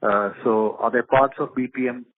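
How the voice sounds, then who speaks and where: A man calling in as an analyst, asking polite questions in a husky voice with hesitant, conversational phrasing. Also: mid-range voice, steady tone, moderate pace. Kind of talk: polite question on an earnings call. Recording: rough, narrow phone line.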